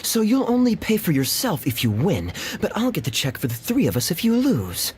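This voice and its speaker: A man's voice: a little high, huge pitch swings, fairly quick, slight background noise. An actor playing a confident, challenging young man in a video game, breezy and assertive, with an assured, articulate manner. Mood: indignant, challenging. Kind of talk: acted video game dialogue.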